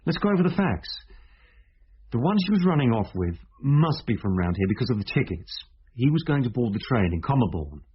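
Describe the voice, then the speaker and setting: An actor playing a brisk, sceptical police inspector in a radio drama, direct and authoritative in manner. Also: male voice, mid-range voice, sing-song, breathy edge. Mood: reasoning, confident. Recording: dull, faintly noisy radio drama recording.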